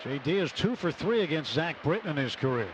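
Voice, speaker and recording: Clear ballpark noise surrounds a man's fairly high-set voice. He delivers live radio baseball play-by-play in measured phrases, with short clipped calls of the count. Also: big pitch swings. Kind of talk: live radio sports commentary. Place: stadium broadcast booth. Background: ballpark ambience.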